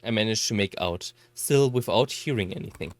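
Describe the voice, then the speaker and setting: A narrator reading a first-person visual novel story aloud in a measured delivery. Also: mid-range voice, dry recording.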